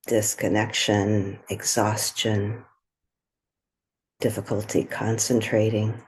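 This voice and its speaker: A woman guides a meditation at a very unhurried pace. Her voice is soft and husky, with a breathy edge. She speaks gently and soothingly in short phrases, leaving room between them. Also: very deep voice.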